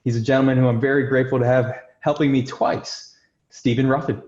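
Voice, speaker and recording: A man reads introductions and screenplay directions as host. His voice is medium in pitch, and the recording is noticeably roomy and a little dull. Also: flat delivery, fairly quick, darkish tone. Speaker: host and stage-direction narrator. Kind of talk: scripted introductions and screenplay narration.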